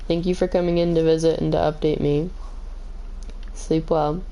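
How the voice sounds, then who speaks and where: Woman game streamer, slightly hoarse and scratchy, calm and low, dark gravelly tone. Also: normal speed. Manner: calm, kept in her lower range. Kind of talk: casual gaming stream chat.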